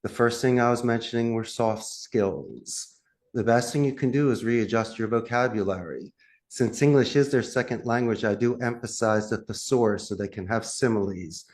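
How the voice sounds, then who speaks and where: A man with a Philadelphia accent, a call center CEO giving animated, emphatic interview answers with lively pitch swings and frequent laughs. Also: normal speed.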